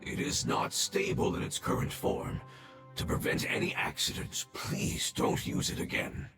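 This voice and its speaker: An actor playing an ancient, dying guardian creature in a video game, weary and reverent, speaking haltingly in a breathy rasp. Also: male voice, close to an everyday voice. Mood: cautious. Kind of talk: scripted video game voice acting. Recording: reverberant voice under loud music.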